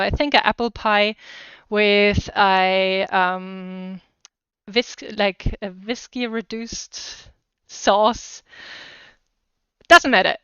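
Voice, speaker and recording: A woman with a German accent hosts a casual live cooking session. Her voice is exceptionally clear and smooth at an average pitch. She talks in a chatty, enthusiastic way, recorded dry with a slightly muffled top end. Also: fairly bright tone, no room sound. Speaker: cooking livestream host. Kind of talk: casual chat to live viewers while cooking.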